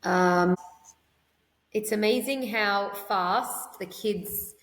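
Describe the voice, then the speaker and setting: A woman speaks as a podcast guest, chatty and rambling, often trailing into "like" and starting sentences over. Her voice sits at an ordinary middle pitch. The room around her is echoey, with a long reverb tail. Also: very slow. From Australia. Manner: chatty and rambling, with frequent "like" fillers and restarts. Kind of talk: conversational interview.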